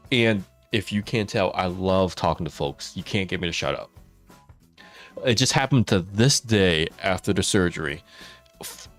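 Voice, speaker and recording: A man telling stories on a live stream while he plays a game, chatting with his viewers and laughing often. His loud voice is held down for the mic. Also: lowish voice. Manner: chatty, laughs often, reads and answers chat. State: mouth watering after dental work. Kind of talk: casual live-stream storytelling while gaming.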